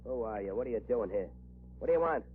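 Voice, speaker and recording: An actor playing a ruthless, bullying arsonist gang boss in a 1940s radio crime drama. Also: male voice, medium pitch, expressive, fast, natural voice. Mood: threatening. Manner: snarling, bullying commands. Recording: scratchy old radio broadcast, muffled, hum.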